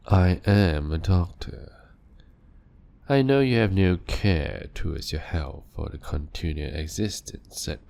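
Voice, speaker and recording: A man voicing an ancient male vampire doctor, calm and clinical, speaking softly and often in a whisper in an audio roleplay. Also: deep voice, creaky, full character voice. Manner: soft-spoken and measured, often whispering.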